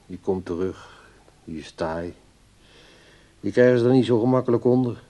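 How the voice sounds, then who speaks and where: A man's voice: on the low side, normal speed, dark tone, lightly acted. An actor playing a brooding, resentful man in a radio play, reflective and grumbling in delivery.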